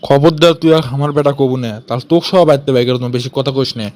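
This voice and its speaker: A man's voice: mid-range voice, rapid-fire, noisy background, natural voice. A man voicing an angry, blustering village father with a Bogura accent in a comic amateur cartoon dub. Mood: threatening. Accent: Bogura, northern Bangladesh. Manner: scolding, blustering, full of threats. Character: angry, threatening village father scolding wife and son.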